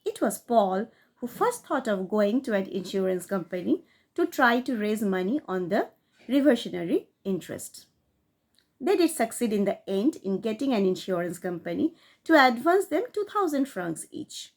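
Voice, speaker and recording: A woman reading a classic short story aloud, in a steady storytelling manner that rises for emphasis. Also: medium pitch, normal speed. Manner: steady storytelling read with rising emphasis on key phrases. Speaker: audiobook reader.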